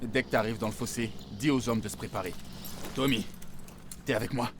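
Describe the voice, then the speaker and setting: Actor as a resolute, low-voiced soldier leader. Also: male voice, fairly quick, natural voice. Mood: focused, planning. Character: resolute male soldier leading a desperate wartime mission. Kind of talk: cinematic war game dialogue. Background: music.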